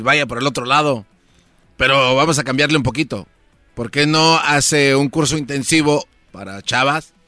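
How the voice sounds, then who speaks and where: A cheeky male radio sidekick. His voice is bright and forceful, and the sound is close and dry with light music behind him. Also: medium pitch, dry recording. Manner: cheeky, provocative. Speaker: radio co-host. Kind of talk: casual radio banter.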